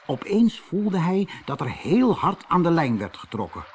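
A man narrating a fairy tale for children as an audiobook reader, in an expressive storytelling manner. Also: fairly high pitch, measured pace, slightly rough.

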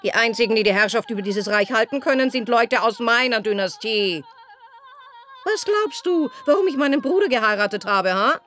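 A woman's voice: a little high, animated, normal speed, fairly bright tone. An actress playing an imperious, scornful Persian queen in a comic radio play, with a commanding, sardonic manner. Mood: haughty, threatening.

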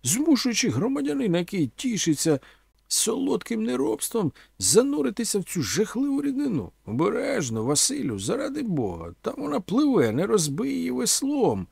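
A man's voice: sits high, sing-song, normal speed, creaky, a little mellow, breathy edge, no room sound, clean studio sound, voice pushed up, full character voice. A man voicing a pompous, sardonic, tipsy vagabond intellectual who declaims in bookish, mocking phrases. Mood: indignant.

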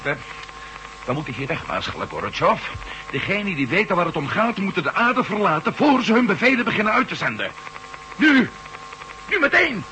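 Urgent, animated former astronaut. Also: male voice, sits high, normal speed, long pauses, exaggerated voice. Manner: urgent, dramatic. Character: alarmed male former astronaut urging escape. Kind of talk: science-fiction radio play acting. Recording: noisy, humming old radio recording.

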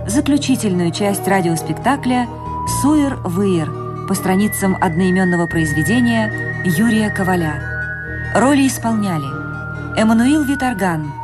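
A woman announces scripted credits for a radio broadcast. Her voice sits at a medium pitch, and music plays clearly behind her. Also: animated, unhurried. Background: music under the voice.

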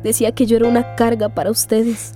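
A woman's voice: a little high, level tone, fast, warm tone, soft background music, close to an everyday voice. An actress playing a timid, wounded teenage country girl in a radio drama, plaintive and meek. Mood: sad, recounting.

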